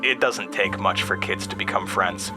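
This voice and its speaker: An actor playing an anxious, haunted young man in an audio drama, speaking in a halting, nervous, stammering confessional monologue. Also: deep voice, music in the background, natural voice. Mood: nostalgic, reflective. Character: anxious, haunted young Midwestern man recalling traumatic memories.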